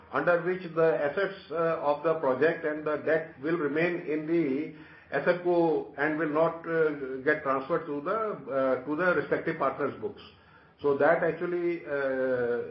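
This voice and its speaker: A man with an Indian accent, a company executive explaining things haltingly on an earnings call. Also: a little high. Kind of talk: answering analyst questions on an earnings call. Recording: muffled, echoey conference call with noise.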